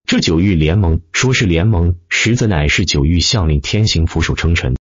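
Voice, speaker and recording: A man narrates a dramatic tale in a deep voice that moves up and down with animation. His tone is a little rough and husky. The sound is dry and close, a little muffled. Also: lively intonation, normal speed, no echo. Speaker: audiobook narrator. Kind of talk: dramatic scripted web-novel narration.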